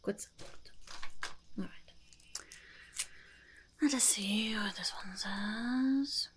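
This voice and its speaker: A woman voicing a smug, blunt female goblin in an ASMR roleplay, speaking in a croaky half-whisper and self-importantly. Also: medium pitch, drawn-out words, slight background noise. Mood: smug, pleased. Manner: self-important, refers to herself in third person.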